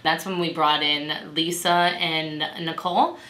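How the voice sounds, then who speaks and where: Lady acting as encouraging patient navigator, low voice, chatty explaining, role-play in an echoey room. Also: steady tone, measured pace, sharp tone. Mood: supportive, informative. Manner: conversational, explanatory. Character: supportive female patient navigator, gentle and encouraging. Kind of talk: amateur role-play dramatization. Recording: echoey room with background noise.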